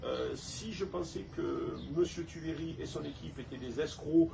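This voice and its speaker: Southern French man, slightly high-pitched, halting speech turning emphatic, distant noisy recording. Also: vocal fry. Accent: Provençal (southern French). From Saint-Tropez, France. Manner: hesitant, repeats words, then emphatic. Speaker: restaurant owner and council candidate. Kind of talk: casual interview.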